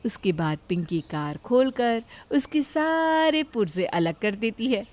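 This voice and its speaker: A woman's voice: on the high side, big pitch swings, measured pace, a little mellow. A woman reading scripted narration of a family moral story as storyteller.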